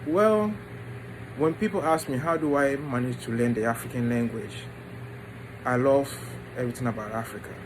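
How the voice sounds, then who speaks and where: A man tells his personal story informally, in short halting phrases with pauses. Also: medium pitch, noisy background. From Ghana and the United States. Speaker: vlogger introducing his book.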